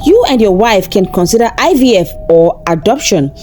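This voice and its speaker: A woman voicing a concerned male doctor in a folktale, steady and somber. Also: medium pitch, moderate pace, soft background music, close to an everyday voice. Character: serious, concerned male doctor, gently grave.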